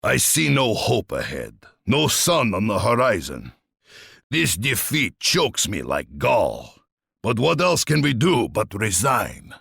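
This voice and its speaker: A male actor playing a ruthless, boastful warlord tsar in a strategy game, with a gravelly, husky voice and a pompous, menacing, declamatory delivery. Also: on the low side, wildly swooping pitch, slow, fairly bright tone, no room sound, clean studio sound, full character voice. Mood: grim, resigned.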